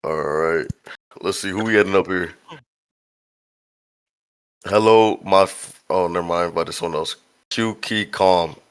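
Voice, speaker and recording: A man's voice: low voice, a little slow, long pauses, clear, resonant tone. A man hosting a casual voice-chat Q&A as a game developer, slangy and laughing often. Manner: slangy, laughs often, says bro a lot.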